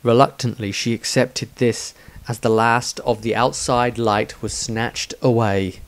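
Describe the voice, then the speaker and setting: A man reading his own fantasy story aloud in a deliberate, dramatic storytelling manner. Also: medium pitch, steady tone. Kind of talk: scripted fantasy audiobook narration.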